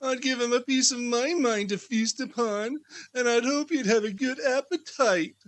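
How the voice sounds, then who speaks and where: A man voicing a fussy, sharp-tongued mother in a theatrical storybook reading, exclaiming and scolding. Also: very high voice, normal speed, voice pushed up. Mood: indignant.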